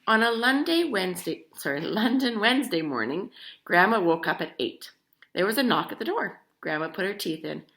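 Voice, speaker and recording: A woman's voice: medium pitch, normal speed, vocal fry. A woman reading a children's picture book aloud expressively, talking to young listeners as she goes. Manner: animated, addresses child listeners with questions. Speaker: storybook reader.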